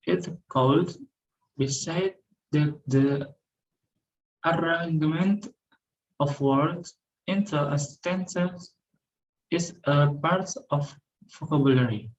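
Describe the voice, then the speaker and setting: Slow, halting young man. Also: a little high, muffled recording. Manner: careful, halting reading with self-corrections. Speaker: student. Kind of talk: recorded class presentation.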